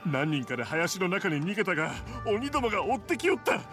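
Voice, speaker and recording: An actor playing a terrified, grieving peasant villager in a samurai game, with anguished, overwrought speech. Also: male voice, sits high, very animated, fast, fairly bright tone, faint music behind. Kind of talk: dramatic period game voice acting.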